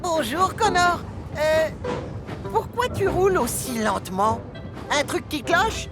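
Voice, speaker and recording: A woman's voice: high-pitched, animated, takes it slowly, full character voice. A woman playing a cheerful, caring young tank engine in a French children's cartoon. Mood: concerned. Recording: music behind the voice.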